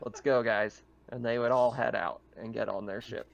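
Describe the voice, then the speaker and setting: A man's voice: mid-range voice, fairly even intonation, normal speed, gravelly, dull audio. A man speaking casually as game master, narrating a tabletop roleplaying game. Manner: casual, conversational.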